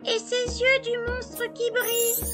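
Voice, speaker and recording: A woman's voice: extremely high pitch, completely flat delivery, slow pace, over loud music. A woman playing a timid three-year-old girl telling her story simply in a children's storybook. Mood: timid, confiding.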